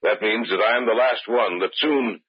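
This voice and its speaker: An actor playing a fearful man who survived a doomed expedition, speaking gravely and deliberately in old-time radio drama style. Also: lowish voice. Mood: fearful, resigned. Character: haunted, fearful male expedition survivor recounting doom. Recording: muffled old radio broadcast, loud music behind.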